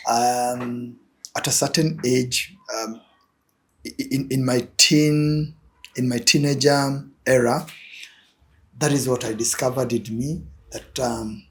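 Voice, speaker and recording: A man's voice: mid-range voice, fairly even intonation, slow. A young Kenyan man with a Kenyan accent, answering interview questions as an image consultant, with many fillers and repeated words.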